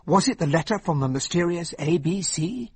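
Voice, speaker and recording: Radio drama casting: breezy English gentleman sidekick and narrator, markedly husky, highly expressive delivery. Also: moderate pace, full character voice. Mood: narrating. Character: genial, candid English gentleman, detective's loyal friend. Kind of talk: radio drama acting and first-person narration.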